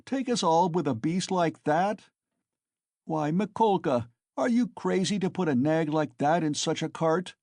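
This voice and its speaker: A man's voice: fairly high pitch, animated, unhurried, darkish tone, no echo, clean studio sound, voice pushed up. A man playing a jeering crowd peasant. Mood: mocking. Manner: mocking, incredulous.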